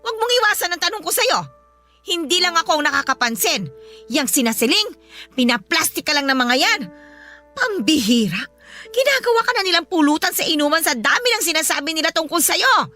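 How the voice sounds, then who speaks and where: Fierce, high-voiced scolding Filipino mother. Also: big pitch swings, brisk, sharp tone, faint music behind, exaggerated voice. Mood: furious, demanding. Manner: scolding, emphatic, interrogating. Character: angry, domineering mother confronting her adult daughter. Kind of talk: Filipino radio drama acting.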